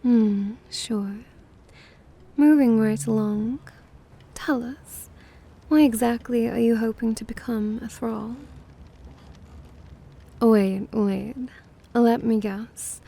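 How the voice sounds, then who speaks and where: A woman's voice: fairly high pitch, steady tone, slow pace, gravelly, long pauses, mellow, full character voice. A woman playing a playful, condescending vampire mistress who looks young, drawling languidly in an ASMR roleplay. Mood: teasing.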